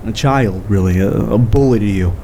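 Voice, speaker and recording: A man acting in an audio drama as a guilt-ridden, defensive skeptic, with halting, hesitant delivery and dry sarcasm. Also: fairly low pitch, mellow, a little hiss or hum, close to an everyday voice. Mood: remorseful.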